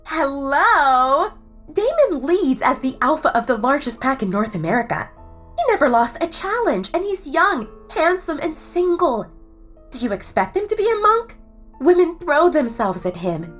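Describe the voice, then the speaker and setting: A young female werewolf, chatty and persuasive, who teases and flatters her friend. The voice sits high and swoops about lively. Soft music hums behind her in this audio drama. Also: moderate pace. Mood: coaxing. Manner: eager, persuasive, teasing. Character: gushing young woman werewolf, the bride's friend.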